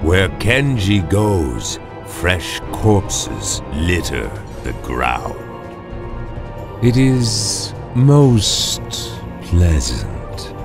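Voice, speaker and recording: A sinister game necromancer who gloats over death. The voice is low and swings widely in pitch, sly and mocking. Music plays behind it. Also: male voice, big pitch swings, extremely slow, creaky, exaggerated voice. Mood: gleeful. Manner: sly, mocking, savoring words. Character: sinister undead necromancer, male, gleeful about death. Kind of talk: video game cutscene acting.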